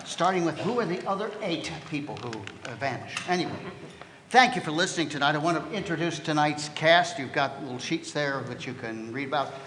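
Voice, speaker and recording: A man's voice: fairly high pitch, lively intonation, a little fast. A man hosting a live stage show, announcing in a joking, informal way. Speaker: host. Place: banquet hall before live audience. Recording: echoey noisy hall with loud audience.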